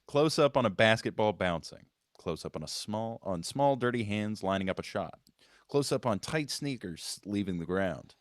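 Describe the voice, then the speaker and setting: A man's voice: fairly low pitch, no echo, clean studio sound. A man reading screenplay stage directions aloud as scripted narration at a table read.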